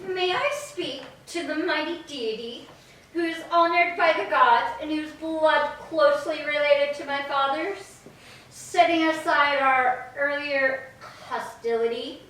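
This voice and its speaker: A woman playing a proud, vengeful goddess with formal, deliberate delivery in a staged reading of Greek tragedy. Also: high voice, a bit bright. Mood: formal, courteous. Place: echoing church interior. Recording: very echoey hall, distant mic.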